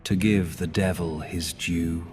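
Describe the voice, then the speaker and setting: An actor playing a suave male half-demon tycoon with a dry, measured and wry manner. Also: deep voice, fairly even intonation, a little mellow. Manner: measured, dryly amused. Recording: long reverb with music underneath.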